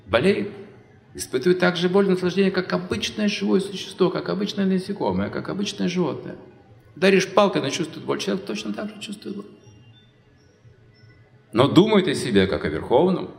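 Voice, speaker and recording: Male preacher, spiritual lecture, slightly high gravelly voice, rhetorical delivery, reverberant hall. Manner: rhetorical questions, acts out imagined dialogues. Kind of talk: sermon, spiritual lecture on scripture. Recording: echoey hall with long reverb.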